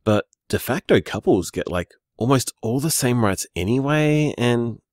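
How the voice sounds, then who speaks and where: Audio drama role: man playing easygoing, loving bar owner. Warm, rambling, animated delivery, dry close sound. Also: mid-range voice, a little slow, no room sound, lightly acted. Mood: flustered, reassuring. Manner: warm, teasing, rambling reassurance. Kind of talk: audio drama voicemail acting, naturalistic and conversational.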